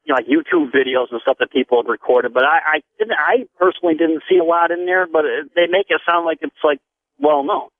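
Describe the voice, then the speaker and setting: A man's voice: on the high side, animated, fast talker, no room sound. A man calling in to a radio show, chatting casually and hesitantly, often repeating his words. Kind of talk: casual phone conversation. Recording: tinny phone line over radio.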